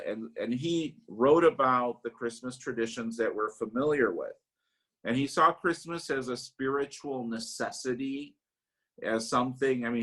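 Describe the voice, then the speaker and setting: A man giving an unscripted parish webinar lecture, halting often with "uh" and restarting his sentences. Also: mid-range voice, a bit bright. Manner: halting, many "uh" fillers and restarts. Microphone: webinar call mic.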